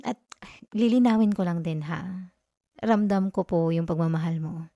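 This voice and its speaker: Mellow, close-up affectionate girlfriend, acted by a woman. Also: fairly low pitch, normal speed, dry recording, lightly acted. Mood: gentle, earnest. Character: affectionate, teasing young live-in girlfriend comforting tired partner. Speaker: ASMR roleplay performer. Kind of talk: ASMR girlfriend roleplay, intimate and conversational.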